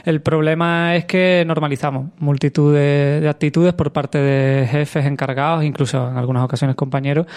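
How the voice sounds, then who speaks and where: Male radio co-presenter, accent of Spain, fairly high voice, conversational delivery. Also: fairly even intonation, moderate pace, few pauses, darkish tone.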